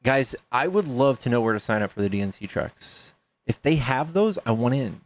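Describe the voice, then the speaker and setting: A man's voice: mid-range voice, big pitch swings, normal speed. A man in his thirties chatting casually on a livestream, joking with viewers and reading out donations. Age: 30s. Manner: chatty, reads donations aloud, jokes with chat. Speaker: streamer.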